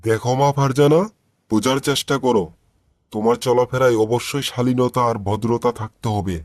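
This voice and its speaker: A man plays a worried, devout father of middle age in a cartoon. His voice sits at an ordinary male pitch, coaxing and admonishing with patience. The recording has a dry, close sound. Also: steady tone, normal speed, no echo, close to an everyday voice. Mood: pleading. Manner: gentle pleading, admonishing. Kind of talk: cartoon dialogue acting.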